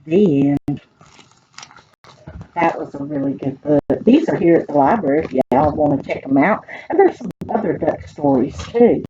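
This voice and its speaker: A woman with a Southern US accent and a husky voice reads aloud to young children as a librarian, expressive and folksy, speaking to them directly. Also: sits low, moderate pace, muffled recording, slight background noise. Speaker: children's librarian reading picture books aloud.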